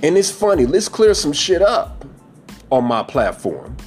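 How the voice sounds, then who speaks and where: A man's voice: a little high, huge pitch swings, measured pace, soft background music. A man in his forties hosting a podcast, giving a blunt, profane, preachy monologue about dating. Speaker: podcast host.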